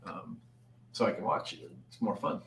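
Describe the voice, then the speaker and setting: Man, writer giving casual notes, bright voice, echoey room, faint noise. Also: fairly low pitch, normal speed. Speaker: writer and narrator giving notes at a table read. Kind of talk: casual discussion. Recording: roomy echo, noticeable background noise.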